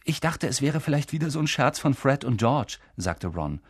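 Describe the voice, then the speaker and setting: A man's voice: medium pitch, big pitch swings, normal speed, husky, no echo, clean studio sound, voice pushed up. A man voicing a curious, shy eleven-year-old boy who talks in eager bursts. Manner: eager, blurting.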